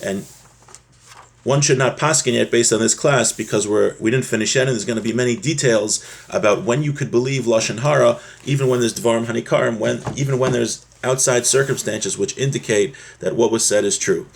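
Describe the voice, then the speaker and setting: A man with a husky voice giving an informal religious lesson, explaining a text step by step and often rephrasing his points. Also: medium pitch, fairly quick. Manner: repeats and rephrases, checks in with "right?". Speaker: religious teacher giving a class.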